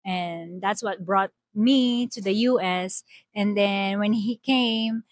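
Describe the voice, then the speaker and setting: A woman's voice: medium pitch, takes it slowly, no room sound. A woman from the Philippines, matter-of-factly recounting how her family immigrated. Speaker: interviewee. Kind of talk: personal story interview.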